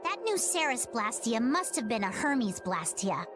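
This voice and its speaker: An earnest young heroine in a video game. Her voice is high and lively, thoughtful and inquisitive, with music clearly behind it. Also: a little slow. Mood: earnest, puzzled. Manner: earnest, questioning. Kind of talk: scripted video game acting.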